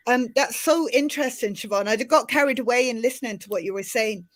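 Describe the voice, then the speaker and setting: A middle-aged woman from Ireland with a softened Irish accent, hosting a casual panel chat over a video call, talking in a chatty, animated way with many restarts. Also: on the high side, a little fast. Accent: Irish, softened by years in the UK. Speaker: host.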